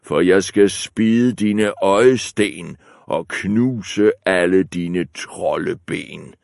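A man voicing the big billy goat with a coarse voice, slow and threatening. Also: deep voice, gravelly, a little mellow, no room sound, studio quality. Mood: defiant.

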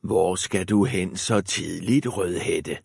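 A sly, hungry wolf from a fairy tale, as a male narrator puts him on, talking low and hushed with a gravelly edge. The voice sits deep and dry, close to the mic. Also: no room sound, heavily acted.

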